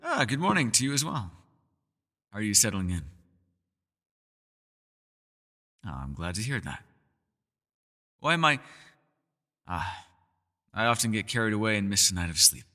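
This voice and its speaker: A man's voice: fairly low pitch, big pitch swings, moderate pace, long pauses, pushed and bright, big-room sound. A man voicing a centuries-old elf scholar in an ASMR roleplay, courteous and meticulous, with formal wording and small hesitant stammers. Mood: polite, warm. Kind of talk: ASMR roleplay voice acting, one-sided dialogue.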